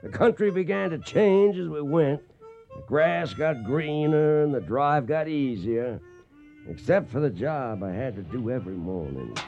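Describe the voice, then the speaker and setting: An actor playing a folksy, excitable cowboy in a radio western, with a crackly voice and an American Western drawl. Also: male voice, on the high side, a little slow, gravelly, dull audio, faint music behind. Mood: wry storytelling. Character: folksy, excitable cowboy spinning trail yarns. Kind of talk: radio western acting and narration.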